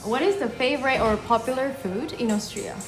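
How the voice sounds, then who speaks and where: A woman asks her guest questions in a bright, friendly way and laughs easily. Her voice sits a little high. The room is echoey, with music playing behind her. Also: brisk. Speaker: host. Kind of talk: casual interview.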